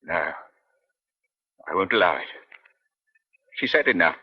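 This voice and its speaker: An actor playing an agitated, stubborn and protective husband who has been a crime victim, in a 1950s radio melodrama, emphatic and halting. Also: male voice, deep voice, lively intonation, slightly rough, full character voice. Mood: outraged, insistent. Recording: dull old radio recording.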